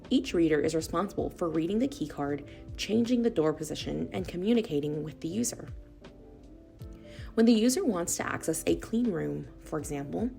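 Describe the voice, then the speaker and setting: Low-pitched woman narrating, slightly creaky. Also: warm tone. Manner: measured, instructional delivery. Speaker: narrator of a corporate product video. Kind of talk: scripted explainer narration.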